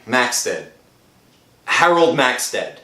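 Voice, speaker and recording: A woman acts a haunted, guilt-ridden storyteller in an old-time radio thriller. The delivery is slow, brooding and dramatic, with a forceful edge, echoing over faint music. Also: a bass voice, very animated, exaggerated voice. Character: guilt-ridden, haunted narrator, troubled and defiant. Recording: echoey, faint music underneath.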